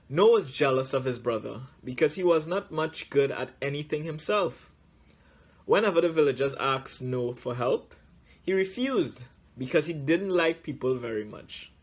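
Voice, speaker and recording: Man, children's story reader, unhurried and deliberate, raspy voice, frequent pauses. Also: on the high side. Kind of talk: reading a children's story aloud.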